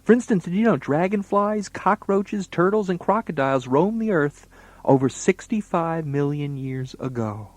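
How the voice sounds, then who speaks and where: A man's voice: on the high side, animated, normal speed. A man working as a children's entertainer, playing the straight man in comedy banter and explaining things to young listeners.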